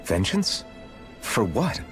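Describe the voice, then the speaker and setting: A man's voice: lowish voice, sing-song, slow pace, faint music behind. A man playing an earnest, resolute young hero in a video game cutscene, speaking seriously. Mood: determined.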